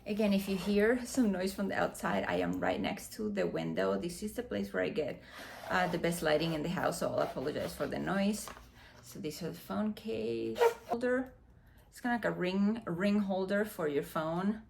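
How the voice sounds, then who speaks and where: Gravelly Dominican woman in her forties. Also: fairly low pitch, expressive, normal speed, darkish tone. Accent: Dominican Spanish. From Dominican Republic. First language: Spanish. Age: 40s. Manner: chatty, hesitant with many fillers. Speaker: beauty vlogger. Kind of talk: casual unboxing chat. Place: room next to a window, street noise outside. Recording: faint outside noise from the window.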